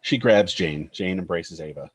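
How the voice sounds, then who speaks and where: A man narrates a screenplay, reading out scene headings and action lines. His voice is fairly low with a noticeably husky, unclear grain. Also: normal speed. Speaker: narrator reading stage directions.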